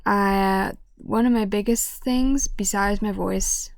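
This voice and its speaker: A woman with a hoarse, deep voice that often drops to a whisper, talking casually to camera in a halting way. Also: steady tone, no echo. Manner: halting, with restarts and stutters. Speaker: vlogger.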